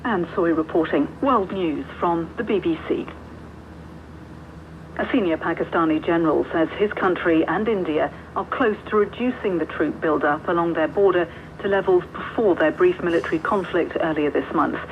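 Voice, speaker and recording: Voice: medium pitch, moderate pace. A news reader delivering a scripted radio bulletin, heard over shortwave. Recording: noisy tinny shortwave radio reception. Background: loud radio static.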